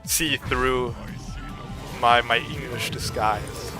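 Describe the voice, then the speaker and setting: A young man with a German accent, giving excitable, swearing, trash-talking gameplay commentary. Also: mid-range voice, drawn-out words, music in the background. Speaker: gaming streamer.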